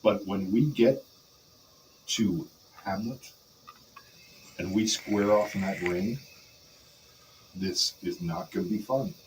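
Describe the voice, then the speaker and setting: A man playing a big, menacing pro wrestler who delivers a dramatic, halting challenge promo. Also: fairly low pitch, recorded from a distance, a little hiss or hum, rough recording. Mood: menacing, challenging. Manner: dramatic, halting, calls opponent brother.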